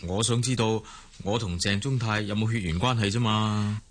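An actor playing a blunt, excitable young grandson in a radio drama, with a Hong Kong Cantonese accent. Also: male voice, lowish voice, normal speed, close to an everyday voice. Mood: hesitant, probing.

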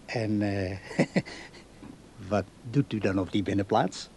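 An actor playing a lonely, brooding old widowed father in a radio play, with a slow, halting, musing way of talking. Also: on the low side, darkish tone, a little hiss or hum. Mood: curious, hesitant. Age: older.

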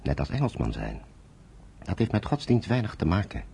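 An actor playing a retired general in a radio drama, older, courteous and reflective, speaking formally and deliberately. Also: male voice, low voice, wildly swooping pitch, creaky, mellow, slight background noise, exaggerated voice. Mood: earnest, reflective. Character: thoughtful retired English general, courteous and introspective.

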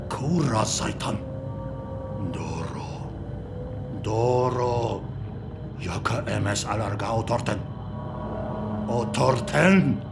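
Ominous muttering tribesman, creaky, extremely slow, radio drama, heavy eerie music. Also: fairly low pitch, lively intonation, heavily acted. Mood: ominous, haunted. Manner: muttering, incantatory. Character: fearful Mansi tribesman muttering warnings of the devil.

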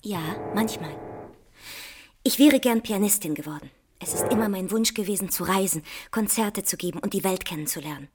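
A woman's voice: mid-range voice, moderate pace, noisy background, close to an everyday voice. A woman acting in a German radio play as a melancholy signal box keeper with a wistful, plaintive way of speaking.

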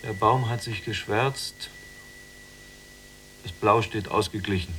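An actor playing a restless, watchful young prisoner in a literary radio play, in clipped, deliberate phrases. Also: male voice, lowish voice, fairly bright tone, slight background noise, low-quality recording. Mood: watchful, measured.

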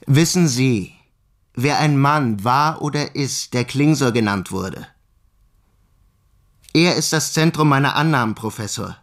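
An actor playing an earnest, persistent scientific investigator in a radio play, speaking in a polite, formal and insistent manner. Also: male voice, medium pitch, unhurried, long pauses, a bit bright.

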